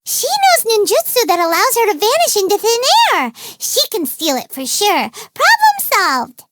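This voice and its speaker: A woman's voice: extremely high pitch, wildly swooping pitch, moderate pace, very noisy, full character voice. A woman voicing a tiny, childlike fairy companion in a video game, greedy, naive and dramatic, with an exclamatory, whiny manner. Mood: scheming. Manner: speaks of self in third person, exclamatory, whiny. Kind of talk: video game character dialogue.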